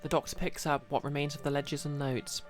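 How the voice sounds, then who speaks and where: A man's voice: on the high side, level tone, fast talker. A man streaming a visual novel, reading scripted narration aloud with casual asides. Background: music.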